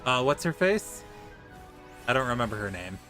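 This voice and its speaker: A man's voice: mid-range voice, very animated, normal speed, bright, forceful tone, faint music behind. A man giving casual gameplay commentary as he streams a video game.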